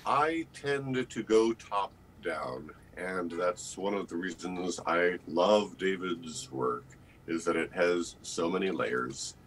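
An older man, an actor giving reflective, articulate interview answers over a video call in measured phrases. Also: low voice, a bit bright, rough recording. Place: indoor room with bookshelves.

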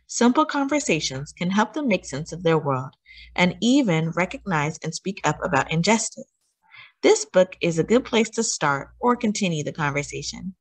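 A woman reading a children's picture book aloud as a story time host, with deliberate, teacherly emphasis and questions to young listeners. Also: lowish voice, big pitch swings, breathy edge, low-quality recording.

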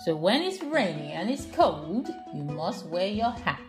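A woman's voice: fairly low pitch, very animated, unhurried. A mother reading a picture book aloud to her young child, asking questions and encouraging her. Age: adult, mother of a young child. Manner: encouraging, repeats the child's answers. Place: home kitchen, indoors. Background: faint children's music.

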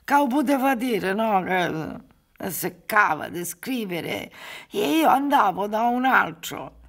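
A woman's voice: mid-range voice, expressive, slow pace, fairly bright tone, full character voice. An actress in a radio drama playing a poor, humble old peasant mother, pleading and lamenting. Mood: plaintive, humble. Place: theatre stage, radio drama recording.

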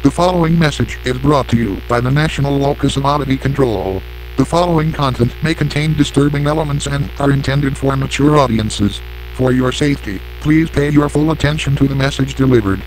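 A man delivering a scripted emergency warning as an announcer, in a formal, measured and impersonal manner, in analog horror style. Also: mid-range voice, faint music behind, low-quality recording.

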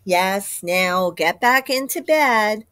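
A woman voicing a patient, weary father rooster who speaks in a measured, gently scolding way in a bedtime storybook. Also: medium pitch, expressive, no room sound, studio quality, voice pushed down.

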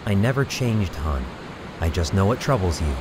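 An actor playing a solemn, calm young mystic warrior, earnest and measured, in a fan film drama. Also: male voice, deep voice, heavy background noise.

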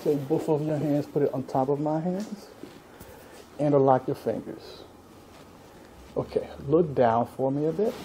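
A man's voice: on the high side, measured pace, pauses often, dark tone. A middle-aged man working as a chiropractor, casually instructing a patient and checking in as he goes. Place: spacious indoor treatment studio. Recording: noisy room recording.

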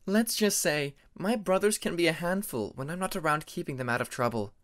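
A man voicing a friendly, gently teasing young female sea dragon in an audiobook, with a light, animated delivery. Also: fairly high pitch, brisk, pitched above the performer's own voice. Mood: amused.

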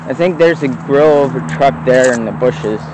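A man's voice: mid-range voice, normal speed. A man casually narrating a walk around an abandoned lot with old trucks, talking offhand and rambling, recorded outdoors on a handheld phone. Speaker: vlogger exploring abandoned vehicles. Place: outdoors in an overgrown lot beside a road. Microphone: handheld phone camera. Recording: faint outdoor traffic noise. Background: faint road traffic.

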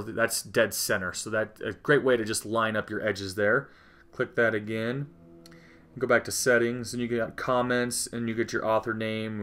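A man with a somewhat low voice guides viewers through an app's settings in an unscripted, easygoing way. He fills pauses with "uh" and "um" and corrects himself mid-sentence. It sounds like a casual tutorial host. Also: a bit bright. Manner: casual, frequent fillers and self-corrections. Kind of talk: unscripted screen-recording walkthrough.

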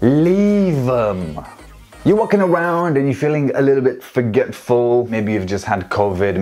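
A young man from Romania with a Romanian accent, talking to camera in a playful, sarcastic comedic vlog, recorded on a lapel mic in a dim room at home. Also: mid-range voice. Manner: animated, playful, sarcastic asides. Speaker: vlogger.

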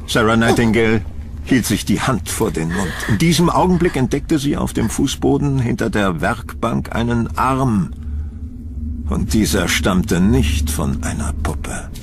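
A man's voice: medium pitch, lively intonation, measured pace, a little hiss or hum. A man narrating a scripted horror story as the narrator of an audio drama.

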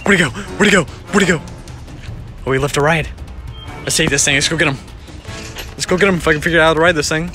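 A man's voice: a little high, fast talker, long pauses, sharp tone, faint music behind. A man voicing a dogged furry beast on the hunt, muttering and repeating himself in an improvised home puppet film. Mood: eager, determined.

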